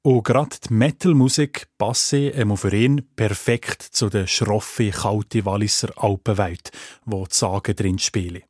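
A man's voice: fairly low pitch, steady tone, unhurried, a little mellow, dry recording, studio quality. A man with a Swiss German accent reading scripted narration as a radio reporter.

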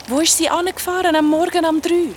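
A woman's voice: very high voice, steady tone, brisk, slight background noise. An actress playing a shaken young ghost woman with a Swiss accent, telling eerie memories in a colloquial, dramatic way in an audio drama. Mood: agitated.

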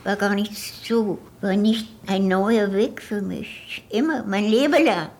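An elderly woman being interviewed, reminiscing in short, halting phrases. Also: medium pitch, darkish tone. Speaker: interviewee, pianist. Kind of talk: reminiscing in conversation.

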